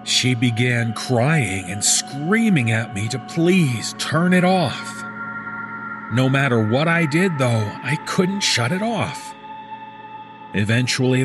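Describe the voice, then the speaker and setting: A man reading a scripted first-person horror story in a measured, deliberate storytelling manner. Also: medium pitch, expressive. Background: background music.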